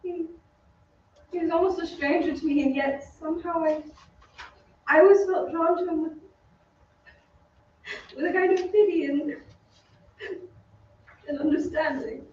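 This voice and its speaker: An actress playing a tender-hearted, easily frightened young opera singer in an old-time radio drama. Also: female voice, very high-pitched, fairly even intonation, unhurried, pauses often, a little mellow, a little hiss or hum. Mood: subdued, wondering. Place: theatre stage. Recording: very echoey stage, distant, muffled.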